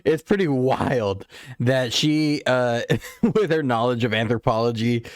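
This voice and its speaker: A man's voice: mid-range voice, a little slow, dry recording, clean studio sound. A man hosting a reaction video, speaking earnestly and often chuckling, quoting scripture to make his case. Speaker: host of a reaction channel. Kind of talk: casual reaction commentary.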